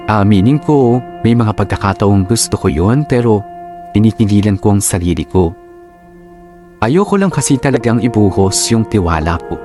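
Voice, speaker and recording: An anxious confider read by a man with a Filipino accent. The reflective narration moves expressively in a slightly low male voice over gentle music, like a radio drama letter. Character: anxious, distrustful young woman confiding her doubts. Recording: music bed under narration.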